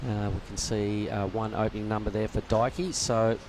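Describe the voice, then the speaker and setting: A man's voice: fairly low pitch, level tone, normal speed, a little mellow, slight background noise, low-quality recording. A man leading live surf commentary, upbeat and chatty, calling the heat and steering the conversation. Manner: upbeat, leads the talk, says mate. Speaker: lead commentator.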